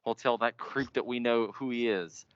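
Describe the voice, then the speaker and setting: A young man playing a grandiose, obsessive radio announcer, theatrical and boastful in a high school radio play. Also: fairly even intonation, normal speed, smooth, no echo, close to an everyday voice. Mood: fervent, grandiose. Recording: dull lo-fi recording.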